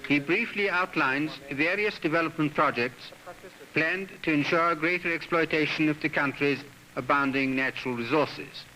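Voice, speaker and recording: A man's voice: fairly high pitch, moderate pace, pushed and bright, a little hiss or hum, poor audio. A man narrating a 1960s newsreel in a formal, stately, declamatory manner. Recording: 1960s newsreel soundtrack with music. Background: background music.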